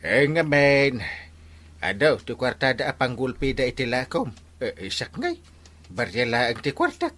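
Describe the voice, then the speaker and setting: An actor playing a flustered, evasive village captain in a comedic radio drama, hesitant and stammering with squeaky exclamations. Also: male voice, big pitch swings, measured pace, a bit bright.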